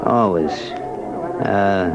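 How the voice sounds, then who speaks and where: An actor playing a wry, unhurried underworld gun seller in a 1940s-style radio crime drama, folksy and stalling, on an old radio recording. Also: male voice, low voice, dull audio, very noisy. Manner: folksy, stalling, speaks in sayings.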